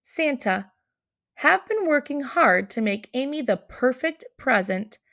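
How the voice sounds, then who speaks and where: A woman's voice: sits high, expressive, takes it slowly, gravelly, fairly bright tone, heavily acted. A woman voicing a giant, earnest male polar bear in a children's storybook, with clipped phrasing. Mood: proud, earnest. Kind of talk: children's storybook read-aloud.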